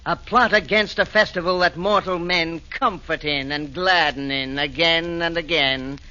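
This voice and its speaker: Courteous messenger of the underworld, bright and crisp, lo-fi radio play. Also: male voice, on the high side, measured pace. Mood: deferential. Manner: deferential, courteous. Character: polite male servant messenger of hell. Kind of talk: 1940s radio acting. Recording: dull old radio broadcast.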